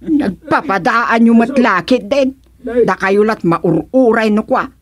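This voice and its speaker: A high-voiced bossy village prayer leader in a radio comedy-drama, with an Ilocano accent. She scolds and complains in a strict way, with a crowd heard behind her. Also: lively intonation, slow pace. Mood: scolding, nagging.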